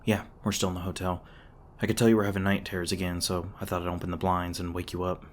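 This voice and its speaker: A man performs a gruff, defensive monster hunter in an intimate ASMR roleplay drama. His voice sits fairly low. He talks casually and swears, hesitating awkwardly as his secretly caring side shows through. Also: level tone, fast, slight background noise, lightly acted. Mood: gentle, reassuring.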